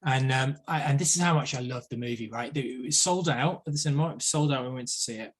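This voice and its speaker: A man's voice: medium pitch, fast. A man on a podcast panel, talking casually and conversationally about films. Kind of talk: casual film discussion.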